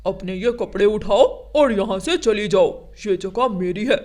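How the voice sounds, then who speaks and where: A bossy male crow from a children's cartoon, performed by a woman. He is a bully with a curt, commanding delivery. The pitch sits in a middle female range. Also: a little slow, darkish tone, lightly acted. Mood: threatening.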